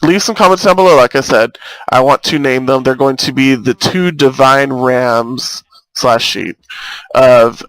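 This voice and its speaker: A man's voice: mid-range voice, measured pace, smooth, no room sound, rough recording. A young man with a slight lisp chatting casually while playing a game, recorded on a cheap earbud microphone. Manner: chatty, rambling, easily excited. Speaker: gaming YouTuber. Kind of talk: casual let's-play commentary. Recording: thin, robotic-sounding earbud mic.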